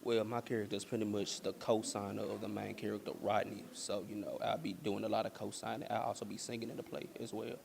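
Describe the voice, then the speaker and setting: A man's voice: lowish voice, flat delivery, quick pace, vocal fry, few pauses. An American man, a stage actor, giving casual answers in an interview with some noise behind him.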